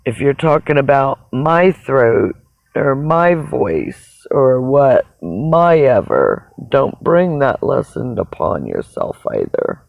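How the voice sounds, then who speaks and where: A person with a raspy voice talks in a casual, rambling livestream monologue, halting and reflective, often pausing mid-sentence. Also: mid-range voice, very animated, no echo. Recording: dull, narrow phone-like sound.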